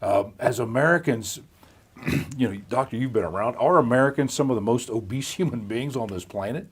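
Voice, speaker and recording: A man's voice: medium pitch, fairly quick, breathy edge. A middle-aged man moderating a live online health chat in a folksy, chatty way. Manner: chatty, folksy, with frequent restarts and laughs. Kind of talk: live-streamed hosted interview.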